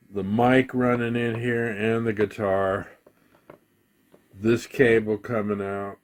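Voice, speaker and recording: Slow-talking man giving a gear demo. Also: fairly low pitch. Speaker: music gear demonstrator. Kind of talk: informal tutorial talk.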